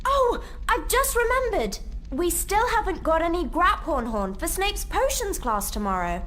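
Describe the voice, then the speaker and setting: A woman voicing a clever, bossy young schoolgirl witch in a video game, brisk and know-it-all. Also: very high-pitched, sing-song, a bit bright, heavily acted. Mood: exasperated. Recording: echoey, faint music behind.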